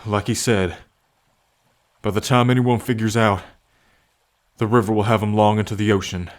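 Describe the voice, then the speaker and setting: A man playing a gentle, devoted bull man with a violent past in an ASMR roleplay, speaking earnestly and tenderly with halting pauses. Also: fairly low pitch, fairly even intonation, lightly acted. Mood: calm, tender. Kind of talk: ASMR roleplay addressed to the listener.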